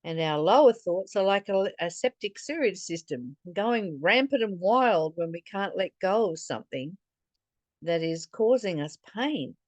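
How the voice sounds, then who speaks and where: A woman reading a spiritual text and commenting on it reflectively, in a deliberate, earnest manner, like a teacher addressing the listener. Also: medium pitch, no room sound. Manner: deliberate, earnest, posing rhetorical questions to the listener.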